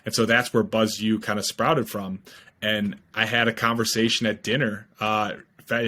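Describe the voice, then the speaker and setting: Eager young man, bright and forceful. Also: fairly low pitch. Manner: fast, eager explaining with many fillers. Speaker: guest, startup founder. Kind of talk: casual podcast interview. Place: home room, video call. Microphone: headset.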